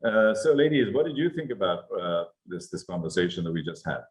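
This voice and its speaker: A man moderating a roundtable panel, introducing the guests in a halting way with many fillers. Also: low-pitched, sing-song.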